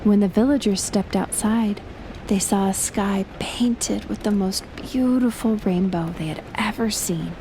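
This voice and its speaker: A woman playing a gentle, shyly affectionate best friend in an intimate audio roleplay, speaking softly and hesitantly with a breathy, near-whispered voice. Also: mid-range voice, slight background noise, close to an everyday voice, rough recording. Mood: soothing storytelling. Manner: soft, hesitant, slow with long pauses. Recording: loud rain and thunder throughout. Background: rain and thunder effects.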